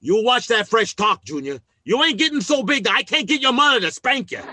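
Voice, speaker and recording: A blustery, big-hearted working stiff of a dad in a radio comedy. His bright, high voice swoops about as he mangles his grammar and turns sentimental, all closely and dryly recorded. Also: sing-song, brisk, no room sound, heavily acted. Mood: blustery, scolding. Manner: folksy, ungrammatical, sentimental blustering. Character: good-hearted, blustery working-class family man and father. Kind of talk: old-time radio comedy acting.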